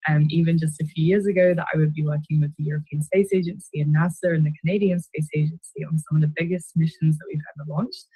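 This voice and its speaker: A woman's voice: low voice, fairly even intonation, quick pace, hardly any pauses, dark tone, husky, no room sound, rough recording. A woman who works as an astrophysicist, giving a testimonial about her career. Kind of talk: testimonial to camera.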